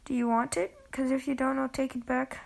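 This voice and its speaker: A naive little boy in a Halloween zombie costume, read out by a woman in her own voice. The voice is scratchy and gravelly, a touch higher than most. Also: flat delivery, fairly quick.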